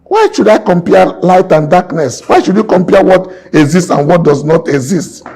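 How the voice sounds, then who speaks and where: A man's voice: high voice, lively intonation, moderate pace, darkish tone, slightly rough. A man in his sixties, a businessman guest in an interview, talking emphatically and repeating his points like a preacher. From Nigeria. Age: 60s. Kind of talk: impassioned interview talk.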